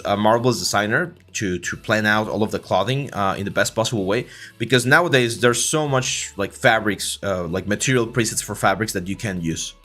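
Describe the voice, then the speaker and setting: A man with a Mexican accent talks through his 3D modeling work on a livestream, easygoing and full of "uh" and "like". He speaks into a desk mic, and soft music plays faintly underneath. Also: medium pitch. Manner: relaxed, filler-heavy, many restarts. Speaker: 3D art instructor and livestream host. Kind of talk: casual livestream tutorial, reading chat.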